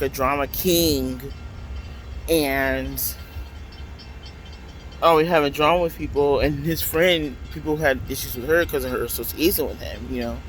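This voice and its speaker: Loud, chatty man on a phone vlog. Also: fairly high pitch, low-quality recording. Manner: rambling, chatty, full of fillers. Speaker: vlogger. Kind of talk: casual vlog chat. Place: outdoors at a transit stop by passing trains. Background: faint city traffic noise.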